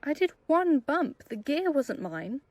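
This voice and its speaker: A woman's voice: high voice, very animated, a little slow. A woman playing a desperate, earnest young detainee in an audio drama. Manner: pleading, earnest.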